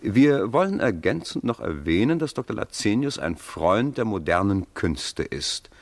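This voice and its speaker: An actor playing a dignified, courteous government minister, formal and authoritative, in a radio crime drama. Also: male voice, lowish voice, dry recording, exaggerated voice.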